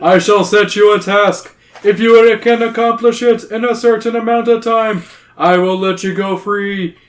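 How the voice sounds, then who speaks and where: A man's voice: fairly even intonation, a little slow. A man voicing a huge, impatient horned cave king from a storybook, bellowing his words. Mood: stern, menacing. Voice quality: booming, bellowing. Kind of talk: gamebook read aloud.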